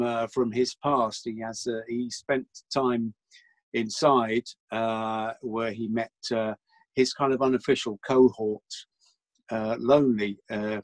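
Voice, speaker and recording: A man, an actor in a casual panel interview, explaining things thoughtfully with hesitant pauses. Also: medium pitch, no room sound. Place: home, remote lockdown recording.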